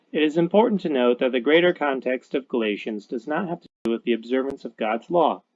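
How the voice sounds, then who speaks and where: A man giving measured, scripted teaching narration, played back as a clip. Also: mid-range voice, dry recording, lo-fi sound. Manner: steady, measured reading.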